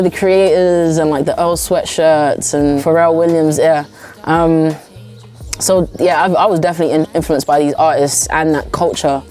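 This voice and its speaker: A woman, a recording artist talking casually in an interview, with lots of "like" and "um" fillers. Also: low-pitched. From South London. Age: 20s. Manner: relaxed, many "like" and "um" fillers. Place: villa.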